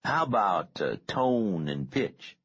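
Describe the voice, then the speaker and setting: A man reading an audiobook, voicing an easygoing male investigator who is unhurried, probing and gently reassuring in a deep, husky voice. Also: very animated, lo-fi sound, exaggerated voice. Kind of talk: audiobook character dialogue.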